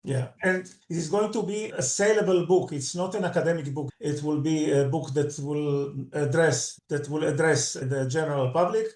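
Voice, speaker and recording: A middle-aged Israeli man, Hebrew his first language, speaks in a slightly high voice and keeps rephrasing as he explains things earnestly. The room gives his voice a noticeable echo. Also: moderate pace, few pauses, a little mellow. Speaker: book co-author and security expert. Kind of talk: explanatory interview answers. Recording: roomy echo.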